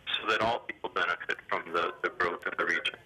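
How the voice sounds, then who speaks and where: A man giving formal, hesitant economic commentary in a phone interview, speaking in a measured, official way over a telephone. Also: sits low, steady tone, slight background noise. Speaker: development bank official being interviewed. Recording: sharp, grainy telephone line.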